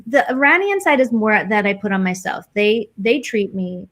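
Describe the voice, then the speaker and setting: A woman's voice: medium pitch, very animated, moderate pace, fairly bright tone. American woman thinking aloud, casual and hesitant. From United States. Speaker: interview guest. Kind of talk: casual reflective conversation.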